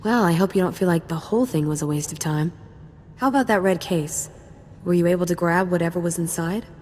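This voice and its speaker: A woman's voice: on the low side, brisk, darkish tone, long reverb tail, slight background noise, lightly acted. A woman playing a confident, wry young special forces sergeant in a video game, casual and playful. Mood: friendly, businesslike. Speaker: soldier giving mission briefing. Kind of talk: scripted game dialogue.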